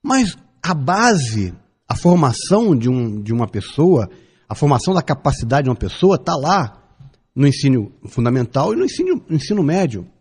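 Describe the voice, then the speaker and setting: A Brazilian man in his fifties delivers a radio opinion piece, pressing his case with repeated phrases and pointed questions. His voice is somewhat husky and warm in tone, and it rises and falls a great deal as he argues. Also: mid-range voice, big pitch swings, normal speed. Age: 50s. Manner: emphatic, builds arguments with repetition and rhetorical questions. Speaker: radio commentator. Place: radio studio.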